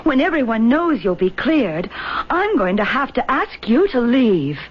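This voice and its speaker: A young colonial fiancée, spirited, doting and easily vexed. Her voice is fairly high, on a dull old radio recording in period radio drama style. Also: very animated, a little slow, breathy edge, full character voice. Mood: exasperated, pettish. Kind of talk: 1970s radio drama acting.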